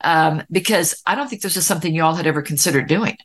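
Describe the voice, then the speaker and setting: Lowish-voiced American woman in her sixties. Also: quick pace, a bit bright. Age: 60s. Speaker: podcast host and interviewer. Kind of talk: casual chat, personal storytelling.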